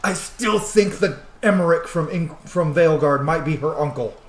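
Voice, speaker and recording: A man's voice: a little high, normal speed. A man giving casual, conversational commentary on a game playthrough, blunt and reactive. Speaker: gamer, roleplay host. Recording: echoey room, some noise.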